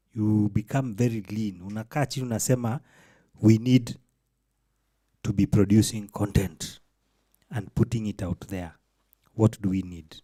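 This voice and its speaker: A man's voice: lowish voice, warm tone, slightly rough, no echo. A man co-hosting a casual podcast, talking reflectively and mixing in Swahili phrases. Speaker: podcast co-host.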